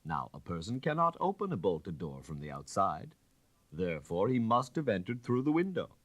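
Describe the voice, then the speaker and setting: A man voicing a suave, self-assured gentleman detective in an audiobook story, calm, precise and dogmatic. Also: low-pitched, moderate pace, dry recording. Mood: confident, dogmatic.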